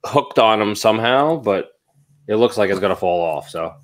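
A man with a fairly low voice co-hosts a casual group podcast. He talks informally about his collectibles into a desk mic on a video call. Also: animated, normal speed. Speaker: podcast co-host.

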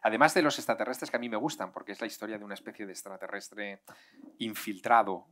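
Deep-voiced man fielding audience questions. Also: fast, slight background noise. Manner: animated, hand-gesturing, discursive with asides. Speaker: invited author. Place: outdoor garden stage. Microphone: headset mic.

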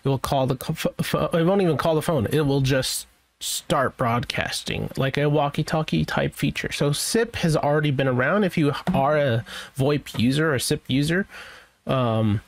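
A man giving a casual, unscripted software walkthrough as a tech presenter, rambling and thinking aloud. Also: a little high, moderate pace, dry recording.

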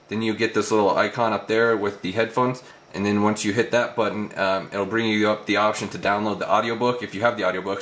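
Casual male tech reviewer, lowish pitch, brisk pace, roomy echoing recording. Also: a bit bright. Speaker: tech reviewer presenting a demo. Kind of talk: casual product demo narration.

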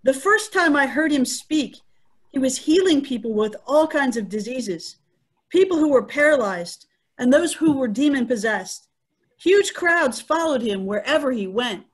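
A woman acting as a devout mother of a disciple in a dramatic reading. Her voice is high, and she speaks with earnest wonder. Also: lively intonation, a little slow, husky, full character voice. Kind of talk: amateur dramatic dialogue reading. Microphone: video call.

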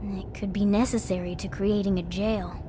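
A woman's voice: mid-range voice, steady tone, moderate pace, warm tone, slight background noise, close to an everyday voice. A woman voicing a clever, businesslike talking cat who leads with analytical decisiveness in an anime game. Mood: analytical, decisive.

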